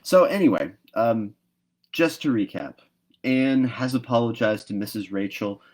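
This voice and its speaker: A man's voice: medium pitch, lively intonation, measured pace, darkish tone. A man hosting a live read-aloud, moving between casual talk and storybook narration. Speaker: host reading a book aloud.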